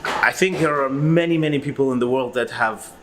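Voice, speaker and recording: A man's voice: mid-range voice, a little fast, slight background noise. A man in his forties from Iraq, a record-collector guest who talks through his story in a rambling, hesitant way with lots of fillers. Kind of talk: casual interview answers.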